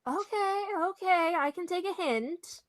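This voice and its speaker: A woman's voice: very high-pitched, lively intonation, measured pace. A woman playing a nosy, bossy, know-it-all hotel attendant in an old-time radio comedy mystery, with a brash, exclamatory manner. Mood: huffy.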